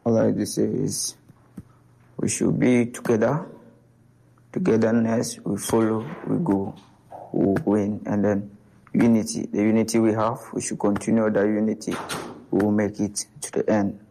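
A woman footballer giving earnest, thankful interview answers in a gravelly voice. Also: extremely low pitch, flat delivery, normal speed, dark tone, low-quality recording. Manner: earnest, thankful, repetitive phrasing. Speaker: national team footballer.

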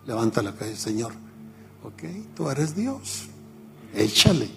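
A man's voice: mid-range voice, very animated, a little slow, creaky, faint music behind. A man in his seventies preaching a radio sermon, reflective and storytelling, chuckling at himself. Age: 70s.

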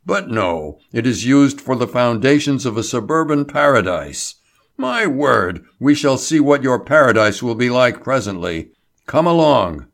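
A man doing Label, an excitable, scornful old doctor. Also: medium pitch, a little slow, gravelly, heavily acted. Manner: snorting, cries out excitedly. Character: excitable older male doctor, scornful crank.

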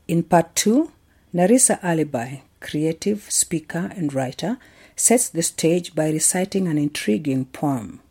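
Mellow, husky radio host. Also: male voice, a little high, expressive. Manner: measured, announcing tone. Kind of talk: scripted radio narration.

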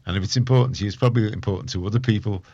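A man speaks in a fairly low voice, in a casual interview style. He is opinionated and emphatic, doubling back on phrases to drive them home. The recording is dry, with little room sound, and slightly muffled. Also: rapid-fire, a little mellow, dry recording. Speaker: community radio organiser, interview guest.

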